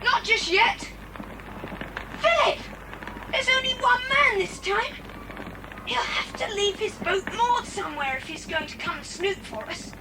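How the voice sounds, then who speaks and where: A plucky British boy speaks in a very high, excitable swoop. The children's adventure drama has long reverb. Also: unhurried, long pauses. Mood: alert, excited. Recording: hissy old cassette with long reverb.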